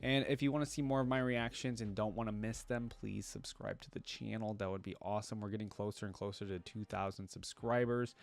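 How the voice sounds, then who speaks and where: Low-pitched man, casual rambling commentary. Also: fairly even intonation, a little fast, creaky, hardly any pauses. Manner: rambling, conversational, thinking aloud, often checks with "right?". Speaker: reaction video creator, channel host. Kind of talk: casual off-the-cuff commentary to viewers.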